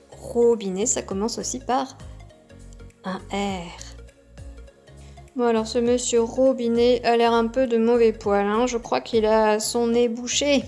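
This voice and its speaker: A woman teaching a kindergarten class by video, speaking gently and articulating clearly. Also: a little high. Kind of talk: teaching young children by video.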